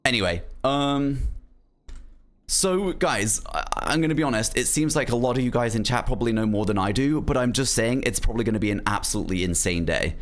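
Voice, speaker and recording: A man's voice: mid-range voice, expressive, brisk. A young man streaming, chatting casually to viewers in a rambling way full of "like" and "um". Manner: rambling, lots of "um" and "like", laughs easily.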